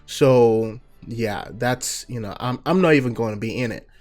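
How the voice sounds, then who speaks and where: A young man from Nigeria with a Nigerian accent, hosting a gaming channel and chatting through viewer questions in a relaxed, filler-heavy way. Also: medium pitch, moderate pace. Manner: relaxed, chatty, many fillers and "you know"s. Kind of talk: casual Q&A talk to viewers.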